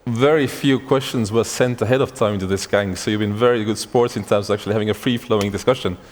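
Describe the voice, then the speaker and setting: A man moderates a panel in a somewhat low voice, talking fast and informally. His words carry the long reverb of a conference hall. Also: steady tone, slight background noise. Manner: brisk, informal.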